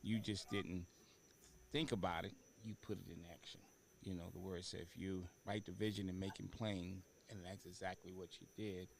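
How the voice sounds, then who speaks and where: A man hosting a talk show in a casual interview style, speaking haltingly and repeating words for emphasis. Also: low-pitched, fairly even intonation, gravelly. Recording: faint background noise.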